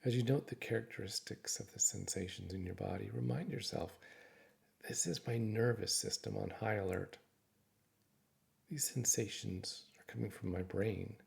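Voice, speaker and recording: A man narrating a guided meditation in a gentle, reassuring, instructive manner. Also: lowish voice, moderate pace, creaky.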